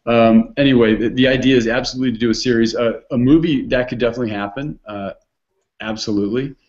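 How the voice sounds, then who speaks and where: A man's voice: medium pitch, fairly even intonation, quick pace, darkish tone, highs cut off. A man hosting a casual online hangout, talking in a rambling way with many restarts and fillers. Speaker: host.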